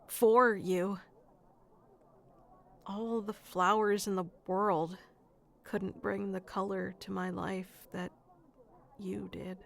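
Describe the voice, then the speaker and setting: A woman's voice: mid-range voice, level tone, slow pace, vocal fry, long pauses. A woman playing a shy, sweet, lovestruck florist in a romantic ASMR roleplay, speaking hesitantly with nervous stammers. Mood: tender, wistful.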